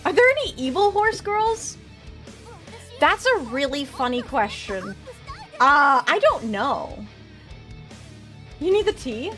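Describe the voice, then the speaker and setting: A young woman streaming a game, chatting with her viewers and cheering loudly through the races, though her voice is growing worn. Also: high-pitched, sing-song, slow pace, long pauses, faint music behind. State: losing her voice. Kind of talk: live game stream commentary with chat.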